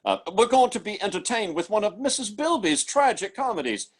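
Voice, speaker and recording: Man acting a fussy gentleman, swooping delivery. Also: sits high, very animated, a little fast. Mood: effusive. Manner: hesitant, fussy, patronising. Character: genteel, patronising gentleman elder of a hidden colony. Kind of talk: old-time radio drama acting.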